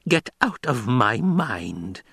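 Audiobook crone, played by a male narrator: stern aged seer, bitter and condemning, deliberate pace. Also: medium pitch, big pitch swings, vocal fry, a bit bright, slightly rough, no echo, clean studio sound, pitched above the performer's own voice, full character voice. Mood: alarmed. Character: stern, fearful old woman truth-sayer, bitterly condemning.

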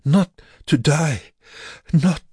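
Man acting a feeble, halting sick prisoner. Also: on the high side, mellow, breathy edge, no room sound, studio quality, voice pushed up, exaggerated voice. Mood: pleading. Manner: weak, halting, mumbled. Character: sick, dying male prisoner, feebly stubborn. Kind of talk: audiobook character voice.

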